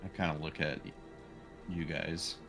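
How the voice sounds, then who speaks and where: A man's voice: rumbling low, fairly even intonation, moderate pace, gravelly, over music. A man playing in a tabletop roleplaying game, speaking casually in and out of character.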